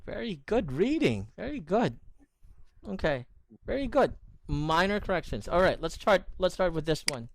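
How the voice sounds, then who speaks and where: A man's voice: high-pitched, sing-song, moderate pace, vocal fry, no room sound. A man teaching English in an online lesson, correcting pronunciation and praising his student often. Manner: encouraging, corrective, repeats praise.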